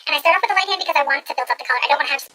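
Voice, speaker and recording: A woman's voice: high voice, very fast, noisy background. A woman talking through a makeup tutorial at home, chatty and gushing as she gives step-by-step instructions. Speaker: beauty vlogger.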